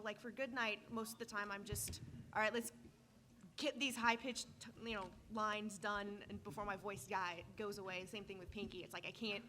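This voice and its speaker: A woman with a bright, forward voice and medium pitch talks casually on a voice acting panel. She speaks through a microphone in a meeting room. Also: a little hiss or hum. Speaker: voice actor on a fan convention panel. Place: indoor convention meeting room. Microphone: handheld or table microphone.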